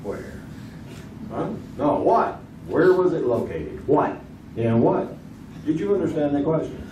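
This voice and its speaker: A man's voice: a little high, big pitch swings, unhurried, dark tone, distant mic, slight background noise. A man playing a joke-cracking 1950s quiz show host, teasing the contestants with showman patter, in old-time radio stage acting. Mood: joking. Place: theater stage. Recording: roomy live theater recording from afar.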